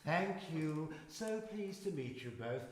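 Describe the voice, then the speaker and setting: A man playing a mysterious, suavely rude gentleman healer in a live radio drama, with theatrical delivery. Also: fairly high pitch, expressive, slow. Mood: mock-polite. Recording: echoey hall, faint noise, live stage.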